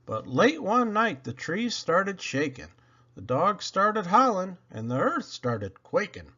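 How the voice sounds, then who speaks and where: A man reading storybook poetry aloud to children in a theatrical, expressive way with dramatic pauses. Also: high-pitched, muffled recording. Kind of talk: animated storybook read-aloud.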